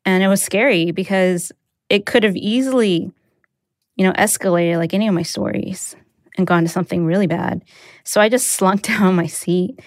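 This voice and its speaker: A young adult woman, raised speaking Mandarin first, telling a personal story in a candid, reflective way with soft laughs. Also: fairly low pitch, no echo, studio quality. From United States. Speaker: interviewee, newsletter writer.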